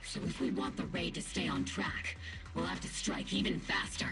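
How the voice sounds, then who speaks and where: A woman playing a brisk, bossy squad captain in a video game, with a gravelly voice. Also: fairly low pitch, music in the background. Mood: brisk, commanding.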